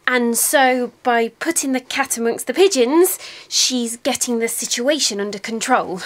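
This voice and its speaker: Actress doing a patient stubborn coworker, a bit high pitched, defensive, comedy drama. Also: female voice, measured pace, natural voice. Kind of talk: naturalistic screen comedy-drama acting.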